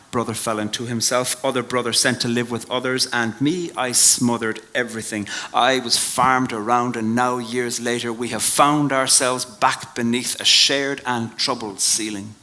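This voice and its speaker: An Irish man giving a reflective live talk and reading his poems, in a measured way that drops to a hush at the ends of lines. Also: medium pitch. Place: live venue before an audience.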